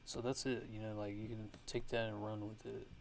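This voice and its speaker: Gravelly, low-voiced man talking offhand. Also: flat delivery, heavy background noise. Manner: halting, offhand, laid-back. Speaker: music producer giving a tutorial. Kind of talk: casual ASMR-style tutorial talk.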